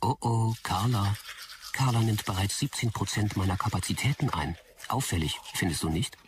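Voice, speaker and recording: A man's voice: lowish voice, normal speed, distant mic, background noise, close to an everyday voice. A man playing a precise, nagging, faintly cheeky AI assistant in a sci-fi audio drama. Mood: teasing. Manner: precise, recites figures, dryly teasing. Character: male personal AI assistant, dryly efficient, nagging, faintly cheeky.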